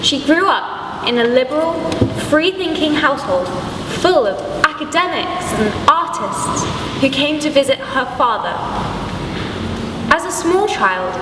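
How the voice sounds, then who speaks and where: A young woman giving a deliberate, theatrical scripted narration, recorded from a distance in a noisy, echoey hall. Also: sits high, big pitch swings. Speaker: pupil narrator. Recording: distant, echoey hall with loud background noise.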